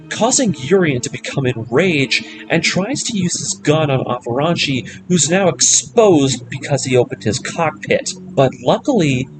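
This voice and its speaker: Male narrator for scripted story recaps, slightly high-pitched, very expressive intonation, music bed underneath. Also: rough recording. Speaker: narrator of anime story breakdown series.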